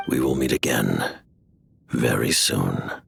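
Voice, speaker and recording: An actor playing a mysterious older commander in a video game, grave, formal and measured, with a very deep gravelly voice. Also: male voice, fairly bright tone, heavily acted. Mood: cryptic, stern. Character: mysterious, commanding older male director of a sinister order. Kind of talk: dramatic video game cutscene acting.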